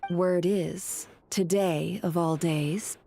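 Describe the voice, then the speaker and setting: An actress playing a shrewd, composed woman informant in a video game, deliberate and teasing as she probes. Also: lowish voice, steady tone, gravelly, mellow, full character voice. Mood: knowing, probing.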